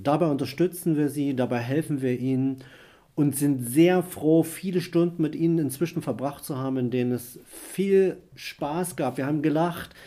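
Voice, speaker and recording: A man's voice: fairly high pitch, dark tone. A man from a youth work office, giving a heartfelt prepared statement. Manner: earnest, heartfelt.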